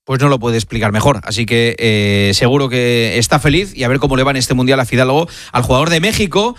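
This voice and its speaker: A male radio sports host running a live show, briskly greeting and questioning guests. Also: medium pitch, a bit bright, dry recording, studio quality.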